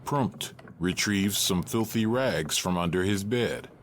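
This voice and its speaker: A man's voice: deep voice, slow pace, a little hiss or hum. A man reading stage directions as scripted narration for an audio drama.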